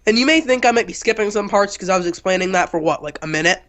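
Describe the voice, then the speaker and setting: A man's voice: sits high, lively intonation, fast talker, a bit bright. A young man co-hosting a casual cartoon review, blunt and dismissive, sniffly from a cold. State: getting over a cold, sniffly. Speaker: co-host reviewer.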